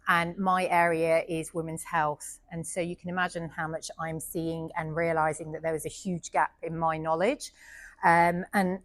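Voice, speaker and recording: A woman's voice: on the low side, no echo. British woman doctor giving a friendly talk. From United Kingdom. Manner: friendly, chatty, frequent ums. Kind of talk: informal presentation to an audience. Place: indoor venue with an audience.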